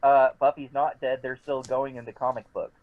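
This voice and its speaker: A man's voice: mid-range voice, flat delivery, moderate pace, no echo. A man talking casually as a roleplay player, describing his character over a voice call. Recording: dull, faintly noisy voice call.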